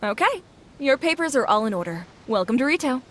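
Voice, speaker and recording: An actress playing a polite, officious border official in a video game, speaking in a formal, procedural way. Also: female voice, high-pitched, big pitch swings, brisk, noisy background. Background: music.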